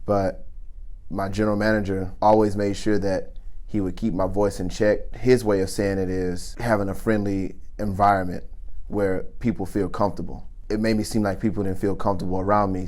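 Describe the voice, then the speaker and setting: A man who works as a chef, with a naturally deep voice, telling his story earnestly and deliberately in an interview. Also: a little mellow. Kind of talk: interview testimony.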